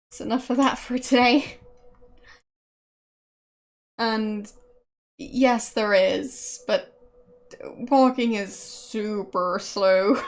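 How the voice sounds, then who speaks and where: A woman whose first language is German, chatting casually with viewers while she streams, hesitant and full of fillers and small laughs. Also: on the high side.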